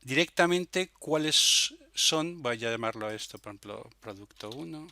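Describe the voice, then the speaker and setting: A man narrates an informal software demo tutorial, explaining step by step with hesitations and frequent little checking tags. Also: mid-range voice, expressive, moderate pace. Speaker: software demonstrator.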